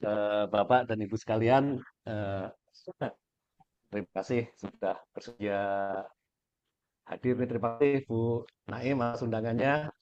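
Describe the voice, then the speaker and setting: A hoarse man giving a measured webinar presentation with frequent pauses. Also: medium pitch, fairly even intonation, darkish tone. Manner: measured, many pauses and fillers. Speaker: guest speaker, aquaculture director. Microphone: video call. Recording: dull lo-fi call audio.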